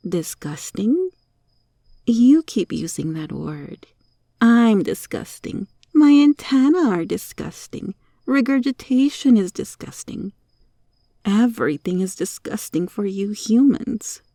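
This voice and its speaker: A woman voicing a sly, teasing monster cockroach girl in an ASMR roleplay, taunting and coaxing with deliberate, sometimes whispery delivery. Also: mid-range voice, sing-song, warm tone, no room sound, studio quality, full character voice. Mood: scornful, defiant.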